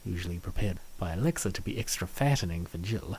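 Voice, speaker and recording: Male narrator for a comic dub: lowish, gravelly voice, scripted storytelling, faint noise behind. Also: lively intonation, fast, a little mellow.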